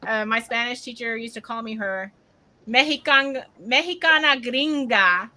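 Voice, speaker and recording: Woman, co-host, chatty, laughs a lot, bright forceful tone, a little high. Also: measured pace. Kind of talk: casual panel chat.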